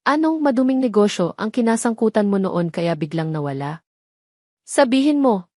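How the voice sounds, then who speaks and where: A woman's voice: medium pitch, expressive, moderate pace, darkish tone, no echo, close to an everyday voice. A hurt, distrustful woman doctor in a romantic audio drama acted by a female narrator. Her words are pointed and emotional. The recording is dry. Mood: upset, demanding.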